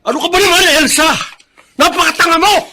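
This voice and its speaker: An actor playing a bitter, unforgiving, hurt eldest son in a Filipino radio drama, with a Filipino accent. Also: male voice, very high-pitched, a little fast, sharp tone, faint music behind, heavily acted. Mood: outraged.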